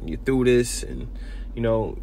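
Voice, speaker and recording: A man giving an informal sermon video, talking in halting bursts with many repeats and restarts. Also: medium pitch, animated, warm tone, slight background noise, poor audio. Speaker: preacher.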